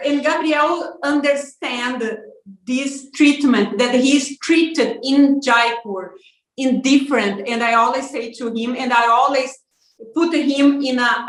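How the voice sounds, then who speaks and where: A woman from Brazil answering interview questions in hesitant English full of pauses and fillers. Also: on the high side, fairly even intonation, fairly bright tone. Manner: slow, hesitant, halting English with many fillers. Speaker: interview guest, parent. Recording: roomy echo.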